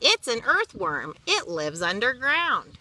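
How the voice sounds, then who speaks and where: A woman's voice: a little high, huge pitch swings, slow pace, pushed and bright, pitched above the performer's own voice, exaggerated voice. A woman voicing a patient storybook grandmother who loves worms, explaining things with emphasis. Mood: patient, instructive. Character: grandmother, patient garden teacher fond of worms.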